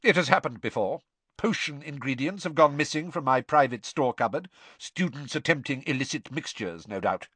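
A man's voice: medium pitch, expressive, moderate pace, a bit bright, husky, no echo, pitched above the performer's own voice. A man voicing a cold, haughty, sinister professor in an audiobook, hissing and disdainful through clenched teeth. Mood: cold, dismissive.

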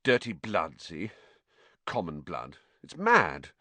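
A man reading an audiobook, voicing a hoarse, weak schoolboy. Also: sits low, huge pitch swings, slow pace, sharp tone, dry recording, heavily acted. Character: boy, sick schoolboy coughing up slugs.

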